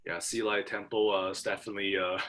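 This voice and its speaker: A man's voice: low-pitched, flat delivery, a bit bright, slight background noise. A young man from Hong Kong chatting casually with his professor, full of fillers and restarts. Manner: halting, many fillers and restarts. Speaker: student. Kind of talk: casual conversation.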